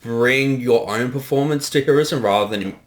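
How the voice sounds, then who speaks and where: A man speaking casually as a director in behind-the-scenes commentary, explaining things in a halting, reflective way. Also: medium pitch. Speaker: director giving creator commentary.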